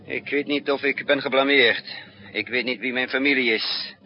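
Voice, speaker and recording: An actor playing a resourceful fugitive spy in a 1960s Dutch radio drama, with a commanding, clipped manner. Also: male voice, mid-range voice, steady tone, close to an everyday voice. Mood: tense, confiding. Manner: commanding, clipped orders alternating with measured narration. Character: resourceful, urgent male fugitive spy with lost memory. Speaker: narrator and lead actor. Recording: muffled, noisy 1960s radio recording.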